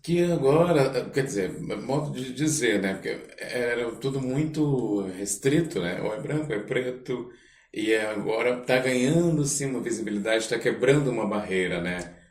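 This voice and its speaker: A man's voice: medium pitch, lively intonation, normal speed, a little mellow. A man with a Brazilian accent hosting a casual interview, chiming in agreeably with short remarks and tag questions. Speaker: podcast host. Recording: echoey room.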